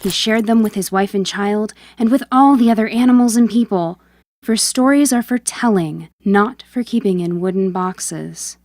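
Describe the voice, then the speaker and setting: A woman with a medium-pitched voice narrates a storybook tale as host. Her scripted delivery is clear and measured. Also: darkish tone, no room sound.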